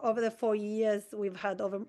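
A woman's voice: mid-range voice, flat delivery, fairly quick, mellow. A woman from Argentina, an interview guest who explains at length in an earnest, enthusiastic way with brief restarts. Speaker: community organisation president.

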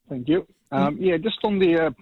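A man's voice: fairly high pitch, a little slow, no room sound. A middle-aged man with a Dutch accent calling in to a radio show, talking in a hesitant, casual way with many ums and restarts. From Netherlands. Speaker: caller, farmer. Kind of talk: casual phone-in chat. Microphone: telephone. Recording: tinny husky phone line.

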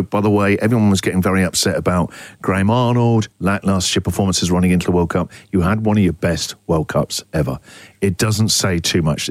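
A man with an Australian accent hosting a breakfast radio show, talking in energetic, jokey banter. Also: lowish voice, no echo. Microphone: studio mic.

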